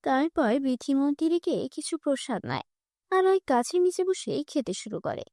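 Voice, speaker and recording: A woman narrating a children's cartoon fairy tale with a high voice in clear, even storytelling. The recording is dry and close. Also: fairly quick, no echo.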